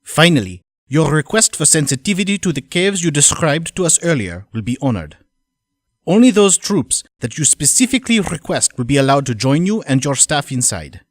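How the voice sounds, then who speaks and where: A man playing a formal, businesslike mercenary colonel, reading a letter in an audio drama with measured delivery. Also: fairly high pitch, big pitch swings.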